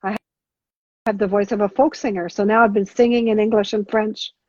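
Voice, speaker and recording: A middle-aged woman answering as a guest on a podcast interview, talking haltingly with many restarts and fillers. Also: mid-range voice, steady tone, darkish tone, dry recording. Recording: dull lo-fi sound.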